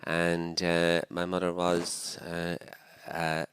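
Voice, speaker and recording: A man's voice: deep voice, completely flat delivery, takes it slowly, fairly bright tone, smooth, dry recording. A man in his sixties with a Dublin Irish accent, a priest being interviewed, talking reflectively with many fillers and restarts. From Dublin, Ireland. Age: 60s. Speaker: priest guest.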